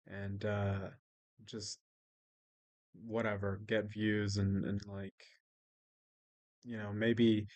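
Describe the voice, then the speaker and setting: A man's voice: on the low side, no room sound. A man from Mexico with an American accent, rambling in a casual diary-style vlog, hesitant and full of restarts. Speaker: vlogger. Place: living in Mexico.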